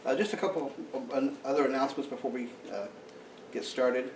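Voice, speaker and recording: A man's voice: mid-range voice, steady tone, darkish tone, a little hiss or hum. A man who is president of a local society, making meeting announcements and introducing a speaker, with many fillers and restarts. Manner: halting, frequent uh fillers and restarts. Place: meeting room. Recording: echoey room, mic from far off.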